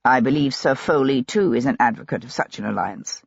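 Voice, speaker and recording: A woman doing a gravelly, husky young nobleman. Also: rumbling low, fairly quick, no room sound, dull audio, pitched below the performer's own voice, exaggerated voice. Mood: terse. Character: Sebastian, a reserved young male nobleman. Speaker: audiobook narrator. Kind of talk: audiobook character voicing.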